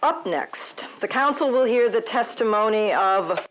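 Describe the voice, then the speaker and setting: Slow, cold, officious inquisitor. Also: female voice, fairly high pitch, animated, low-quality recording. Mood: cool, formal. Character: cold, officious female inquisitor of a secret committee. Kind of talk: audio drama interrogation.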